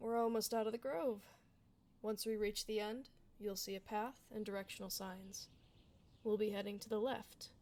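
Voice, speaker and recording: A woman's voice: medium pitch, steady tone, normal speed, a little mellow, close to an everyday voice. A woman playing a blunt, kind tomboy dullahan adventurer in a casual, reassuring fantasy ASMR roleplay. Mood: gruffly reassuring. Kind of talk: ASMR fantasy roleplay, close conversational acting.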